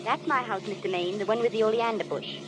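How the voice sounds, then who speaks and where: A woman's voice: mid-range voice, fast talker, noisy background, close to an everyday voice. Woman playing shy, polite small-town young woman. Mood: hesitant. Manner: polite, shy, brief replies. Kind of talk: 1930s radio acting.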